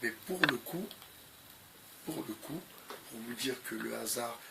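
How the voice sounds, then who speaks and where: A man's voice: medium pitch, expressive, slow, gravelly, heavy background noise. A man with a cold giving unscripted commentary to his viewers, hesitant and full of fillers, often repeating the same phrases. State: has a cold. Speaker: video commentator.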